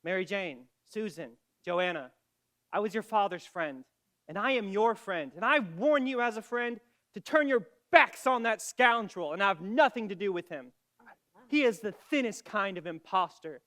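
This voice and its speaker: An actor playing a blunt, indignant small-town doctor and loyal family friend, declaiming with scorn in a literary comedy drama. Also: male voice, high-pitched, animated, moderate pace, fairly bright tone. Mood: earnest warning.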